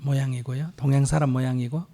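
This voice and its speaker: A man's voice: mid-range voice, steady tone, rapid-fire, warm tone. A man, a Buddhist monk, patiently answering a question step by step with examples at a temple gathering. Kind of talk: dharma Q&A answer. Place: temple hall.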